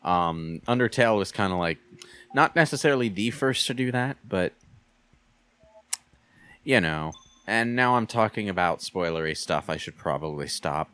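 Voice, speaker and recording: A man giving casual game commentary in conversation, with halting delivery and repeated starts. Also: sits low, expressive, bright, forceful tone, smooth.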